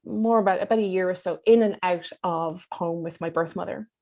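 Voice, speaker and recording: Irish woman in her 50s talking in an interview, lowish voice, thoughtful and measured. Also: a little mellow. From Dublin, Ireland. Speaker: psychotherapist being interviewed. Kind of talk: reflective interview talk.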